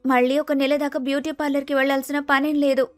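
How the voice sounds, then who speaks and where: A woman's voice: high voice, flat delivery, fast, no echo, faint music behind, close to an everyday voice. A woman voicing a sensible, sceptical young bride-to-be in storybook dialogue, reasoning and lecturing. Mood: matter-of-fact.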